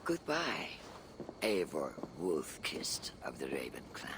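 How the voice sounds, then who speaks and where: An actor playing a weary, reflective older warrior in a game cutscene, solemn and gravelly in his delivery. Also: rumbling low, wildly swooping pitch, slow pace, background noise, heavily acted. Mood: wistful. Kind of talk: video game cutscene acting.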